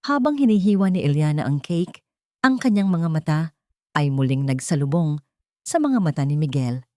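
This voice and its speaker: Low-voiced Filipino woman narrating a drama, dry sound. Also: sing-song, moderate pace, darkish tone, no echo. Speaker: storyteller. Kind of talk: scripted dramatic story narration.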